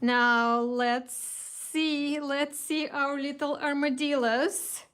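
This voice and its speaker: A woman's voice: high voice, fairly even intonation, very slow, a bit bright. A woman with a Russian accent hosting a casual live craft kit reveal, chatting with viewers, greeting them by name and restarting her sentences often. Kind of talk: casual live-stream chat.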